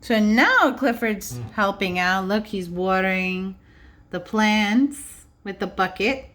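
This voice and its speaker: Lady preschool teacher doing a read aloud, really slow pace, explains stuff simply and asks the kids questions. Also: mid-range voice, animated, a bit bright. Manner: instructive, checks in with questions; simple child-directed phrasing. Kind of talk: read-aloud to young children with commentary.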